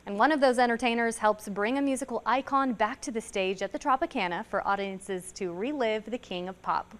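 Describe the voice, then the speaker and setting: A woman's voice: fairly high pitch, a little fast. An American woman in her thirties reading a scripted introduction as a TV news presenter. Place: TV news studio.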